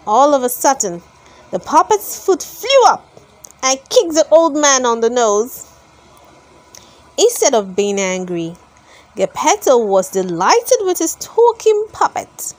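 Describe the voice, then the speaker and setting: A woman reads a storybook aloud for children. Her voice is high and very lively in its melody. She goes slowly and deliberately, phrase by phrase, as an expressive storyteller. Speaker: storybook reader.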